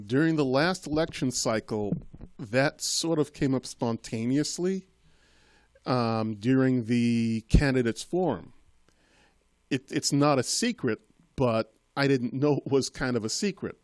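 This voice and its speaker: A man's voice: mid-range voice, slow, no room sound, clean studio sound. Earnest rambling man in his fifties. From Boston, born in Trinidad. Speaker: guest, school committee member. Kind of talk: casual interview.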